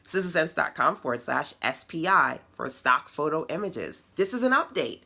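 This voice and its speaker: A middle-aged woman with a deep voice, hosting a casual online web class and encouraging her audience in a conversational, playful way. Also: very animated, normal speed, vocal fry, bright, forceful tone. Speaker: web class host and business coach.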